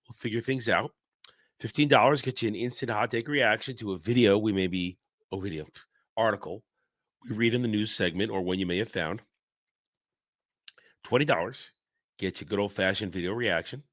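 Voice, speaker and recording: A man's voice: fairly low pitch, fairly bright tone, no echo. Tired, congested man hosting a livestream. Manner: rambling, blunt, frequent restarts. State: sick and congested. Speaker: livestream host. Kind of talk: casual livestream chat.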